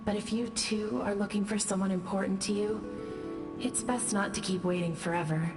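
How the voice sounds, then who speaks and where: A woman playing a gentle, patient ghost in a video game, polite, wistful and resigned. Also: medium pitch, fairly even intonation, normal speed, lightly acted. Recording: game music behind.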